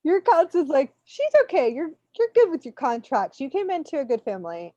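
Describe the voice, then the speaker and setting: A woman with a fairly high voice speaks as a spiritual reader, informal and animated, rambling from one thought to the next. The sound is somewhat dull and lo-fi, like an online stream. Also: brisk. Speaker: spiritual reader hosting a live readings session. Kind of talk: unscripted spiritual coaching chat. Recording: muffled, roomy, lo-fi online stream.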